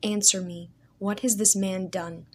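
A woman voicing an angry young man who speaks harshly and loudly. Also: mid-range voice, fairly even intonation, a little slow, husky. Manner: harsh shouting.

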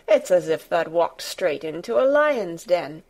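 A woman's voice: fairly low pitch, sing-song, a little slow, darkish tone, exaggerated voice. A woman voicing an agitated, plain-spoken young housemaid, exclaiming in dialect as in a storybook. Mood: amazed.

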